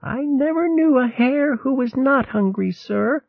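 A man voicing a kindly old female mouse abbess, gentle and dignified. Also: very high voice, lively intonation, unhurried, vocal fry, no echo, phone-quality sound, pitched above the performer's own voice, heavily acted. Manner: gentle, dignified, soft-spoken. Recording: dry, thin, band-limited recording.

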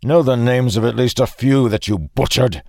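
A man's voice: deep voice, unhurried, darkish tone, dry recording, studio quality. Man acting a bitter, vengeful rebel leader. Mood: fuming. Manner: snarling, fuming, harsh. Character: vengeful, bereaved male revolutionary leader, bitter and venomous.

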